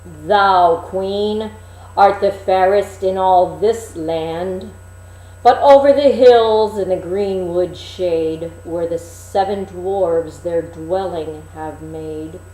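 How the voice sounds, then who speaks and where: A woman's voice: fairly low pitch, steady tone, dark tone, echoey room, low-quality recording. A woman voicing a solemn storybook magic mirror, reciting rhymed verse in an incantatory manner. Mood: solemn, oracular. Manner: slow, incantatory verse, emphatic then falling away. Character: solemn magic looking-glass answering in rhyme.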